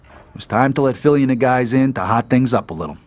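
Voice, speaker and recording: A man's voice: medium pitch, lively intonation, quick pace, natural voice. An actor playing a world-weary, wisecracking American mob enforcer, telling his story in a casual, tough, profane hardboiled narration. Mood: wry, matter-of-fact.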